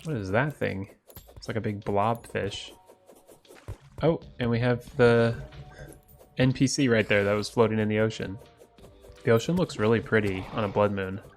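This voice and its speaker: A man's voice: fairly low pitch, moderate pace, pauses often, faint music behind. Congested man doing upbeat game commentary. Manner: upbeat, excited at loot. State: congested from a cold. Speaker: gaming YouTuber. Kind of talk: let's play commentary.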